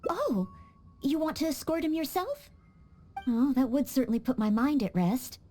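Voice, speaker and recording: Earnest, lively young maid knight. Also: female voice, sits high, moderate pace, soft background music. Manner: courteous, formal, eager to serve.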